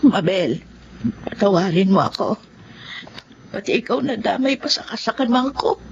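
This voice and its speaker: An actress playing a remorseful, ruined older woman in a Filipino radio drama, plaintive and halting. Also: mid-range voice, lively intonation, gravelly, a little mellow. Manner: plaintive, halting, heavily emotional. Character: remorseful older woman, ruined eatery owner turned beggar. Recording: dull lo-fi audio with noise.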